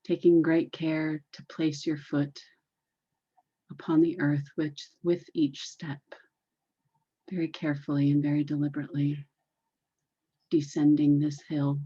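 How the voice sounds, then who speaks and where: A low-pitched woman guides a meditation with a very unhurried, soothing delivery. Her voice is dark and mellow, with soft, husky edges. She repeats phrases deliberately, and the recording is slightly dull. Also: flat delivery.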